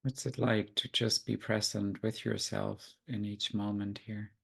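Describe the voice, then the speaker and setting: A man leads a guided meditation in a lowish, very creaky voice. His intonation stays fairly even as he offers gentle, unhurried instructions, leaving long pauses between them.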